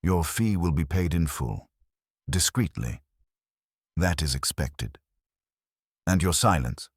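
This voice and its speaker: A man's voice: extremely low pitch, animated, slow, no echo, heavily acted. A man voicing a dry, precise, vaguely amused female board chair in an audiobook, clipped and official. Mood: dry, guarded.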